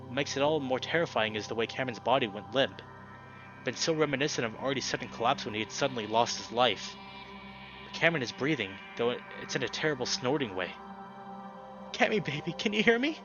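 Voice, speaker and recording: A man's voice: medium pitch, quick pace, lo-fi sound, over music. A young man reading a visual novel aloud as a dramatic story narration for a let's play. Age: young adult.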